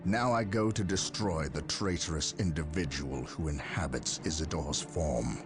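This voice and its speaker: A man's voice: very deep voice, moderate pace. A man playing a grim, resolute veteran space marine captain, speaking formally and with command in a dramatic video game style. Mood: solemn, reflective. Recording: game cutscene with music behind.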